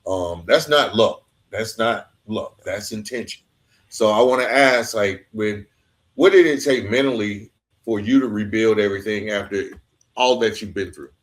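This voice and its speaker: A man hosting a conversational podcast interview in a halting, reflective, gently affirming way. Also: lowish voice.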